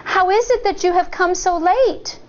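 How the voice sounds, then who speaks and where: A woman's voice: extremely high pitch, normal speed, muffled recording, voice pushed up. A woman playing a wary young eldest sister in a folktale, with a childlike, questioning manner.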